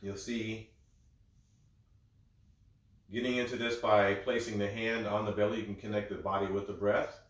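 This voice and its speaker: A man guiding a breathwork session in a calm, gentle, step-by-step way, a little congested. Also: fairly low pitch, moderate pace, long pauses. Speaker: breathwork instructor. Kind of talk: guided breathing instruction. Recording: very echoey room.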